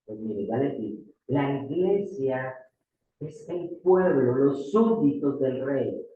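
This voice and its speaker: A man's voice: medium pitch, animated, takes it slowly, slight background noise. A Colombian man with a Paisa accent preaching a sermon as pastor, emphatic, repeating key phrases and putting rhetorical questions to the congregation. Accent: Colombian Paisa Spanish. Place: church hall. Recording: distant echoey church room, phone-like thin sound.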